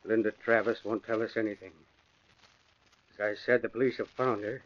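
A man's voice: fairly low pitch, little pitch movement, moderate pace, natural voice. An actor playing a worried, earnest older father in an old-time radio drama, speaking emphatically. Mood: grave, troubled. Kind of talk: 1940s radio drama acting. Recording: dull vintage radio recording.

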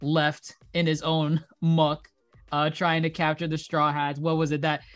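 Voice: medium pitch, completely flat delivery, unhurried, faint music behind. Chatty laughing podcast co-host. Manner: chatty, laughs often, trails into restarts. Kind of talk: casual podcast chat.

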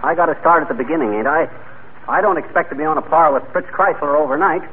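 An actor playing a boastful, bumbling husband in 1940s radio comedy, with folksy, slangy, cocksure delivery. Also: male voice, on the high side, quick pace, close to an everyday voice. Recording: noisy, narrow-band vintage radio recording.

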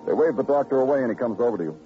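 An actor playing a terse, brooding police detective who also narrates in hard-boiled, moody style in an old-time radio crime drama. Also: male voice, mid-range voice, animated, very fast, lightly acted. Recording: dull vintage radio recording with music.

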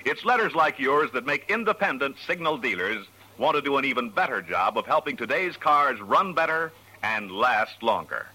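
A man's voice: medium pitch, lively intonation, normal speed, hardly any pauses, sharp tone, breathy edge, low-quality recording. A man announcing in polished, formal 1940s radio sponsor style. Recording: vintage radio broadcast.

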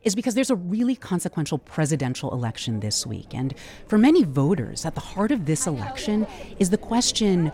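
A woman anchoring a national news program, talking in a lively, conversational way as she reports. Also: lowish voice, brisk, breathy edge.

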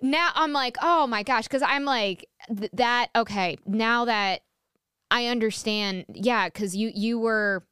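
A woman hosting a podcast interview, talking in an earnest, halting way with many restarts. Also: a little high, bright, forceful tone, clear, resonant tone, dry recording, clean studio sound. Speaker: podcast host. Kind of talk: conversational interview.

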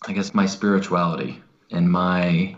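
Low, even-toned man asking hesitant questions. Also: moderate pace, highs cut off. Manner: hesitant, searching for words. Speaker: client, skeptic receiving a reading. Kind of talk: conversational questioning.

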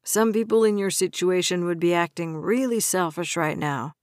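A cocky grown man, as a woman narrator puts him on, speaking low and soothing with put-on sympathy. He sounds warm on the surface and manipulative underneath, in a dry, close audiobook read. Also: normal speed, dry recording, natural voice.

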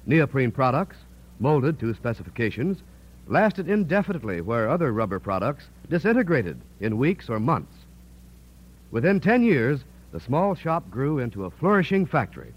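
A man reading a sponsor's message as a polished, measured 1950s radio announcer. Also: medium pitch. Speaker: commercial spokesman. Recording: dull, band-limited vintage radio recording.

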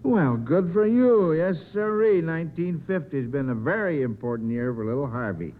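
Lively older American radio host, high-pitched. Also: male voice, moderate pace. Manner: folksy, avuncular, chatty with children. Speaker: radio quiz show host. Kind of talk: 1950s radio hosting. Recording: muffled vintage 1950 radio recording.

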